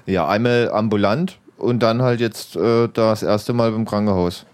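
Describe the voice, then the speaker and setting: A man's voice: on the low side, steady tone, a little slow, darkish tone. A man who is slightly congested, chatting casually on a radio show in a hesitant, rambling way. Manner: hesitant, rambling, many fillers. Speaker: co-host, trainee nurse.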